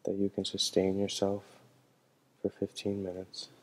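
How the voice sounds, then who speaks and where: A man leading a guided meditation as a teacher, speaking in a calm, gentle and measured way. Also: deep voice.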